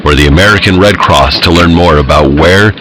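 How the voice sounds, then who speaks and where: A man playing a grave government official, reading a formal, measured emergency announcement in an audio drama. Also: deep voice, close to an everyday voice. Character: grave male government official issuing an emergency evacuation advisory. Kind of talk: formal scripted public announcement. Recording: clearly noisy radio-style broadcast.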